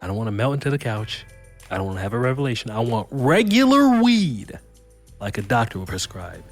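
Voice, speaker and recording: A man's voice: mid-range voice, sing-song, fairly quick, faint music behind. A man playing a cocky, drugged-out street-smart fugitive in an audio drama, rambling in slurred wordplay. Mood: deadpan, wary.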